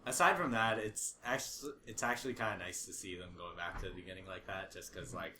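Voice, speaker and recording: Low, bright-voiced man in echoey room. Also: brisk. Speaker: podcast panelist. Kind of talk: casual chat about games. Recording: echoey room, faint background noise.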